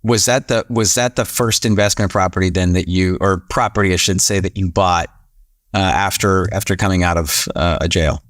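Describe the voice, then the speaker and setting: Deep-voiced friendly podcast host man. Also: fairly even intonation, a bit bright, dry recording, studio quality. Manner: friendly, encouraging, quick back-channel replies. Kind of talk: interviewing a guest.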